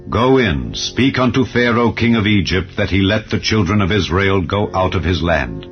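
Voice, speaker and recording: A man's voice: deep voice, normal speed. A man voicing a commanding, majestic God in a dramatized scripture reading, grand and emphatic. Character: God, commanding, majestic male deity making solemn promises. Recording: dull lo-fi audio over music. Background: calm background music.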